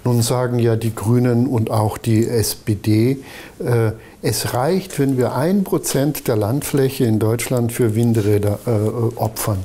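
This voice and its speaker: Guy hosting a panel talk, mellow kinda breathy voice, asks sharp leading questions. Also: medium pitch, a little slow. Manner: provocative, pointed questions. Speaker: talk show host. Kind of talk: moderating a panel discussion.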